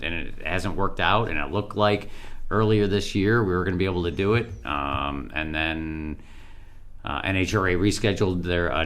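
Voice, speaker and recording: A man's voice: sits low, fairly bright tone. A man chatting casually on a podcast in a rambling way, his voice froggy from being sick. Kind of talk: casual chat.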